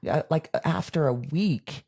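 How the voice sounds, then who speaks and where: A woman's voice: deep voice, a little slow, creaky, dark tone, breathy edge. A middle-aged woman co-hosting a podcast in casual chat, upbeat and affirming her husband's points. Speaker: podcast co-host.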